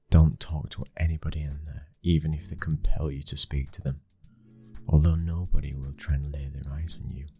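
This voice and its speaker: A very deep British voice from a man acting as a young mafia boss's son, controlling yet tender. He speaks in an intimate, commanding murmur, in the style of an ASMR boyfriend roleplay. Faint music plays behind him. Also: a little mellow. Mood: stern, protective.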